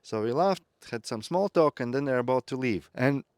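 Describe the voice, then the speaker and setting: A man's voice: medium pitch, expressive, moderate pace, no room sound, clean studio sound. Earnest man. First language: Latvian. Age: 30s. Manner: reflective, earnest, with light laughs. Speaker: growth mentor. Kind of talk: personal storytelling talk.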